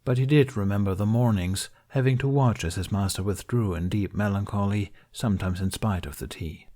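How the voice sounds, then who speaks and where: A man's voice: lowish voice, brisk, warm tone. A man reading scripted fiction as an audiobook narrator.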